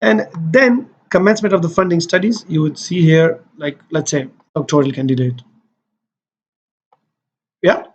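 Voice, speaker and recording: A man's voice: on the high side, moderate pace, pauses often, a little hiss or hum. Man talking through a lo-fi screen tutorial. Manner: loose and conversational, with many fillers and restarts. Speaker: host giving application guidance. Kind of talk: screen-share walkthrough tutorial.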